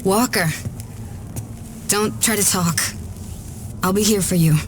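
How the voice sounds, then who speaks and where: A woman playing a steady, loyal wasteland ally in a video game cutscene. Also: medium pitch, slow, slight background noise, close to an everyday voice. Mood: caring.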